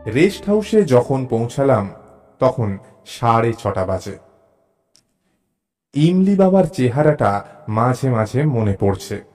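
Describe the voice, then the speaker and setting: Slow, measured male storyteller over music. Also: mid-range voice, sing-song, darkish tone. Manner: measured, deliberate, suspenseful delivery with pauses. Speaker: narrator of a suspense audio story. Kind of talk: dramatic first-person story narration. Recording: narration over clear background music.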